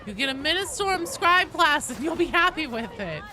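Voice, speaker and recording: A woman game streamer chatting casually with viewers, thinking aloud in a halting way. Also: high voice, sing-song, sharp tone. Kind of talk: casual chat, replying to viewers.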